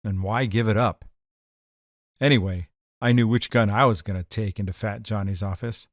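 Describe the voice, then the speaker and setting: A man's voice: fairly low pitch, steady tone, normal speed, dry recording, studio quality, lightly acted. A man playing a tough, wisecracking gangster in a 1940s noir radio drama, with slangy hard-boiled delivery. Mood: wry narration. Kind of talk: noir detective radio drama acting and narration.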